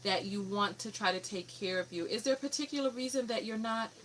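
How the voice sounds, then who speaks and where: A woman's voice: mid-range voice, a little fast, a bit bright, a little hiss or hum. A woman from New York City with a New York accent, hosting a live coaching call and pressing a caller with firm questions. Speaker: radio show host and relationship coach.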